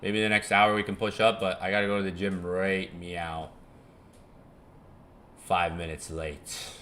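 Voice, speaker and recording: A man's voice: sits low, level tone, measured pace, fairly bright tone, slight background noise. A young man hosting a casual live stream, rambling, chuckling and swearing casually as he repeats himself. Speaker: live-stream trading host.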